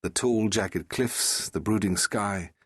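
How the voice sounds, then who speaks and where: A man narrating a fiction audiobook in a measured, dramatic and suspenseful manner. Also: lowish voice, slightly rough, no room sound, clean studio sound.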